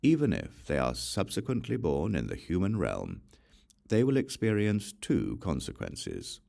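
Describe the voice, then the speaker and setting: Male audiobook reader, very deep voice, lively intonation, solemn measured delivery. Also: creaky. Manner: slow, solemn and deliberate. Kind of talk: measured scripture reading.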